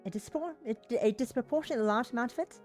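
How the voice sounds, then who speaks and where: A woman's voice: fairly high pitch, fast talker, a little mellow, faint music behind, close to an everyday voice. A woman voicing a visual novel heroine, a polite, playfully chiding young woman. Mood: brisk, polite.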